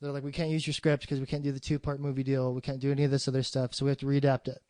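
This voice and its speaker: A man's voice: medium pitch, monotone, racing, warm tone, no echo. A man chatting casually about film as a podcast co-host, blunt and freely swearing.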